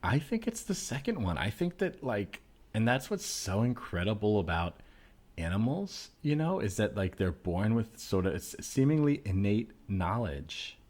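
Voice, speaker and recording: A man improvising an earnest, easygoing tattooed nature enthusiast in a comedy sketch, talking haltingly and repeating words as he searches for phrasing. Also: lowish voice, big pitch swings, darkish tone. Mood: earnest, musing.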